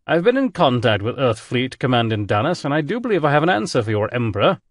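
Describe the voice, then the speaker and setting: Audiobook role: a man playing a dry-witted English admiral, polished accent, gentlemanly, pitched up. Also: fast talker, no echo. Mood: deadpan. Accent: distinguished English. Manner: deadpan, gentlemanly, cheerful. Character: genteel British male admiral, deadpan wit.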